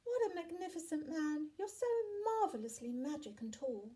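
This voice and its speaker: A high, childlike voice put on by a woman reading a picture book, sweet and eager. The child pleads and admires with wide-open innocence, pitched well above adult speech. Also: very animated, normal speed, warm tone. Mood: admiring, pleading.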